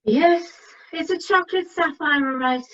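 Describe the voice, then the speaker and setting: A woman's voice: high voice, moderate pace, a bit bright. A woman playing a chatty, forgetful, self-deprecating cruise passenger in an amateur radio comedy. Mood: chatty, wry. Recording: dull online meeting audio.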